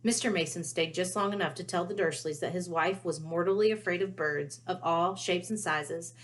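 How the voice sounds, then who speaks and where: A woman reading a children's book aloud as storyteller, with expressive narration. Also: on the low side.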